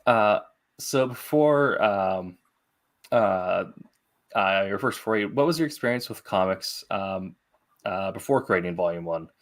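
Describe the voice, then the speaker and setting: A man's voice: low-pitched, expressive, a little slow. A man hosting an interview in a casual, conversational way, asking rambling, long-winded questions. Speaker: interview host.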